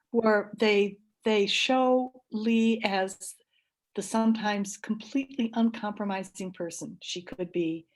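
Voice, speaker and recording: A woman panelist making reflective, unscripted remarks, halting as she searches for words. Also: mid-range voice. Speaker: writer, panelist.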